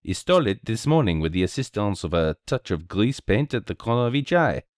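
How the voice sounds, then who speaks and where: A man's voice: lowish voice, very animated, fairly quick, no room sound, studio quality. A man voicing a small, cheerful, self-assured detective with a courteous, precise, theatrical manner, in a storybook reading. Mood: knowing, animated.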